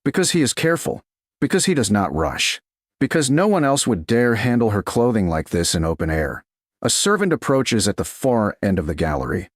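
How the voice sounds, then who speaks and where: A man narrating a scripted romance story in a slow, dramatic storytelling style. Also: on the low side, very animated, dry recording, clean studio sound. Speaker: audiobook narrator.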